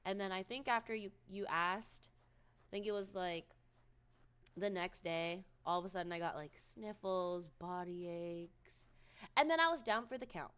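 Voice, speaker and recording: A woman's voice: on the low side, moderate pace, a bit bright, smooth. A young woman streaming, chatting casually with viewers in a rambling way with lots of ums, her voice recovering from a cold.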